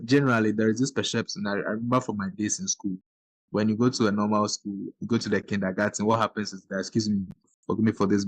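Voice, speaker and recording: A man's voice: lowish voice, dry recording, rough recording. A man from Ghana, hosting a podcast and explaining his points earnestly. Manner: earnest, explanatory, with brief backchannel agreements. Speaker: podcast host. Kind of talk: podcast conversation.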